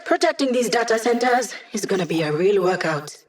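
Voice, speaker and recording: A woman's voice: mid-range voice, expressive, brisk, recorded from a distance, close to an everyday voice. Game role, woman actor: young female hacker as a digital projection, teasing, sassy, sure of herself, dry sarcasm. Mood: cocky. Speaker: video game voice actress. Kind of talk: video game character voice lines.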